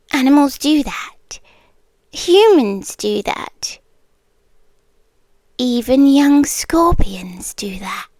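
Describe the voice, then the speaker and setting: A British woman voicing a possessive, coaxing scorpion captor in an intimate ASMR roleplay, teasing and deliberate, often half-whispering. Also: high-pitched, dry recording, exaggerated voice. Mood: softly wounded.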